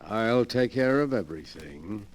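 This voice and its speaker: Manipulative gentleman villain, lowish voice, unhurried, soothing, condescending, 1940s radio thriller. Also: animated, a little hiss or hum. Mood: calm, coaxing. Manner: smooth, soothing, deliberate, condescending. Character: cunning, suave gentleman schemer, coolly manipulative. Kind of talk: 1940s radio mystery acting.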